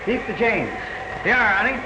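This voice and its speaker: A wisecracking detective groom. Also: male voice, high voice, animated, noisy background. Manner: wisecracking, brisk. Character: wisecracking young male detective, eager groom. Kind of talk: 1930s film dialogue.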